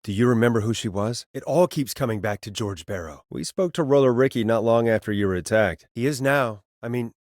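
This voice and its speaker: A man performs a late-night call-in radio host for a video game. He is earnest and quick-witted, with a wry conversational delivery. His medium-pitched voice sounds dry and close, with no room around it. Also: expressive, a little mellow, no echo, close to an everyday voice. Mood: serious, probing.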